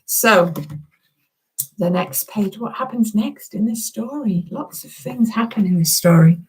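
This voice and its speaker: Slow-paced woman reading to young children. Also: medium pitch, husky, poor audio. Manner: chatty, expressive, addresses young listeners directly. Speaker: author reading her picture book aloud to children. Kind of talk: animated children's story reading with singalong.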